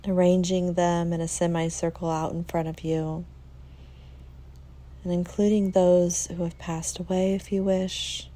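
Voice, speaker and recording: A woman leading a guided meditation in a soft, soothing way, leaving long pauses between phrases. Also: lowish voice, level tone.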